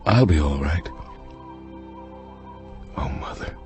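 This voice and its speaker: An actor playing a somber, remorseful grown son in a radio drama, speaking in a hushed, halting way. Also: male voice, very deep voice, lively intonation, full character voice. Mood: mournful, resigned. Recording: somber music behind the voice.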